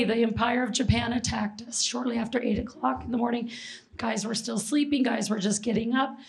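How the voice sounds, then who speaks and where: A middle-aged woman from Seattle speaks to a packed auditorium through a handheld mic, her voice hoarse and gravelly from overuse. She pitches it a touch high and keeps things lively, throwing questions and jokes out to the crowd. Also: low-quality recording. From Seattle, Washington. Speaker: presenter and flight attendant. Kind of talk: public history presentation to an audience. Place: large auditorium stage.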